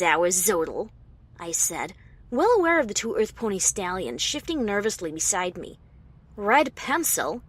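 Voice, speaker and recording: A woman voicing a tense pony author who narrates her own story dramatically, as a fan fiction reading. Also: mid-range voice. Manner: dramatic, expressive.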